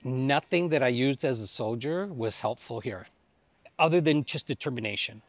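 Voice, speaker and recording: A man's voice: medium pitch, animated, moderate pace, dry recording. A man in his late fifties, a pilgrim answering interview questions in a rambling, self-correcting way, recorded outdoors on a lapel mic. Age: 50s. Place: outdoors in a sunny old town square.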